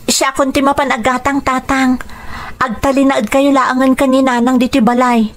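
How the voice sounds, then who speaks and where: An actress playing a young daughter who is caring and worried about her parents, earnest and expressive in an Ilocano radio drama. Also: high-pitched, moderate pace, natural voice.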